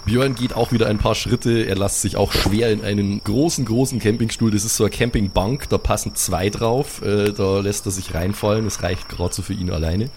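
A man's voice: fairly low pitch, fairly even intonation, normal speed, hardly any pauses, noisy background. A man improvising narration as the game master of a tabletop audio play, addressing his players casually.

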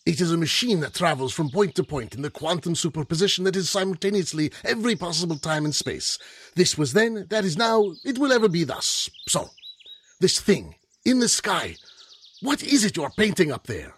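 A man's voice: a little high, very animated, moderate pace, husky. A man playing a grandiose, confident time-travelling inventor with precise, formal, theatrical speech in an old-time radio adventure. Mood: urgent.

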